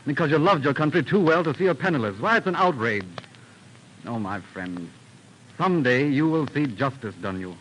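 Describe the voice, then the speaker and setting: A man's voice: mid-range voice, animated, normal speed, natural voice. An actor, a man, playing a loyal, impassioned statesman in dramatic 1930s radio style. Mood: indignant. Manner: earnest, dramatic. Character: loyal, impassioned statesman friend, indignant and concerned. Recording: noisy 1930s radio recording.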